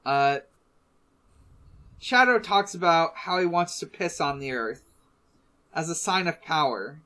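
A podcast co-host casually recapping a story among friends, in a halting way with drawn-out pauses. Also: mid-range voice, expressive, pushed and bright.

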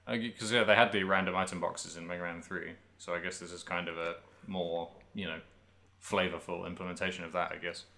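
A man co-hosting a podcast, casually talking through video games in a halting, thinking-aloud way. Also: low voice, level tone, creaky, pushed and bright. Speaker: podcast host. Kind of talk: casual video game discussion.

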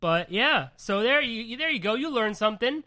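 A woman's voice: fairly high pitch, animated, moderate pace, sharp tone. Woman, podcast co-host, rambling, profane, congested. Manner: rambling, swears freely, laughs often. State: congested from a cold. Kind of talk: casual podcast chat.

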